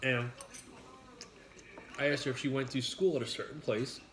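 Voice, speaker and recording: A man's voice: mid-range voice, fairly even intonation, normal speed, background noise. A man chatting casually and bluntly over food, with some swearing. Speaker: mukbang co-host. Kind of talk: casual chat while eating. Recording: echoey room with busy background chatter.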